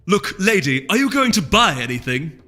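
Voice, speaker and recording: A man acts out a male storybook market vendor who is fed up and curt. His impatient voice sits high, in long reverb. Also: big pitch swings, moderate pace, bright, forceful tone, full character voice. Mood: annoyed. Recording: long reverb with music underneath.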